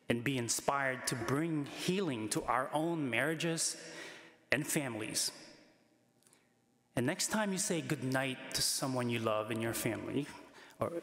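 A middle-aged man, a priest, giving a measured, earnest sermon from a lectern microphone in a large church. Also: mid-range voice, fairly bright tone. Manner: measured, earnest preaching with emphatic rises. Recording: echoey church with long reverb.

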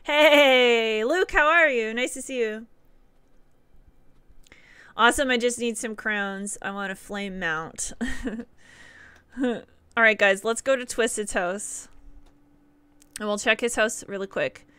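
A woman's voice: on the high side, slow pace, long pauses, bright, forceful tone, clean tone, no echo. A woman in her thirties from Nova Scotia with a Nova Scotian accent, chatting upbeat with viewers while streaming a game, laughing often. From Nova Scotia, Canada. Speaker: livestreamer. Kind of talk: casual live gameplay chat with viewers. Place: small indoor room.

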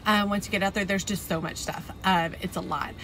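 A woman's voice: mid-range voice, steady tone, fairly quick, fairly bright tone, a little hiss or hum. A woman in her thirties with a Texan accent, narrating a casual vlog in a chatty, enthusiastic, folksy way. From Texas, United States. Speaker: host of a gardening video channel.

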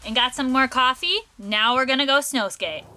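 A woman's voice: sits high, normal speed, bright, forceful tone, a little hiss or hum. A woman talking casually for a vlog.

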